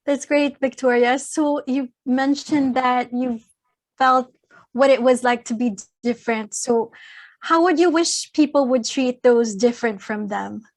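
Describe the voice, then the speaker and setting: High-voiced host, formal and polite. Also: female voice, unhurried, a little mellow. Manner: formal and polite, reads from a script. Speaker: show host. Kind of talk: scripted introduction and interview questions.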